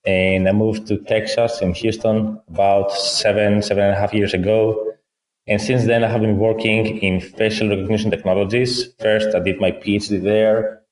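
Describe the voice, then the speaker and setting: A man in his thirties from Athens with a Greek accent, a guest explaining things in a measured way, point by point. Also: fairly low pitch, level tone. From Greece, Athens. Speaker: guest, company founder. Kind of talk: conversational interview. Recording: roomy, echoey room.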